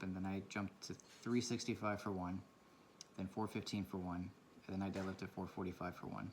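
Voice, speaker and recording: A man with a low voice talking quietly in a casual, rambling and reflective way while he draws for his vlog. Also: a little hiss or hum. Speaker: vlogger. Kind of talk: casual talk while drawing. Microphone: camera mic from a propped camera.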